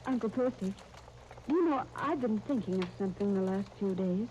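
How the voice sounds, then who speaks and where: A woman's voice: mid-range voice, lively intonation, normal speed, warm tone, natural voice. An actress playing an earnest, encouraging young woman in a 1930s radio serial. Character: earnest, encouraging young niece, Sunday, in wartime England. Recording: muffled, noisy 1939 radio transcription disc.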